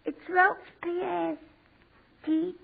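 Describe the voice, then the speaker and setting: Mischievous little girl, high childish voice, tinny old radio sound, audience laughter. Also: level tone, extremely slow, vocal fry, full character voice. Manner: childish, cheeky, asks why over and over. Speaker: child character in a radio comedy. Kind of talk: 1940s radio comedy acting.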